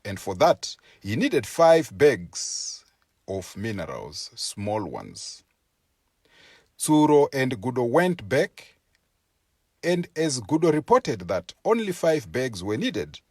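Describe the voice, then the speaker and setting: A man reading a folktale aloud as a storyteller, with expressive, deliberate and dramatic phrasing for young listeners. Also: medium pitch, husky, no room sound, clean studio sound. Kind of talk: expressive scripted story narration for children.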